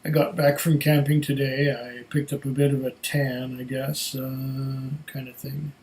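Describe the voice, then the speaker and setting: A man's voice: medium pitch, little pitch movement, unhurried, a little mellow, poor audio. Congested, tired man chatting casually. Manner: rambling, many fillers. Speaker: whisky reviewer. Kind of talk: casual vlog chat.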